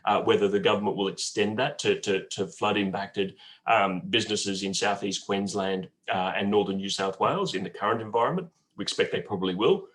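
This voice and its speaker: A man in his forties with a croaky cold voice, speaking haltingly with many fillers as a bank guest on a webinar. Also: on the low side, a bit bright. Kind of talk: webinar talk answering questions. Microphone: video call.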